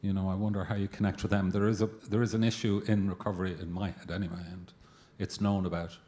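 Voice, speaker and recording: A man's voice: sits low, little pitch movement, quick pace, warm tone. An older man in his sixties asking a reflective, hesitant question from the audience. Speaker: audience member. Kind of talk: question from the floor.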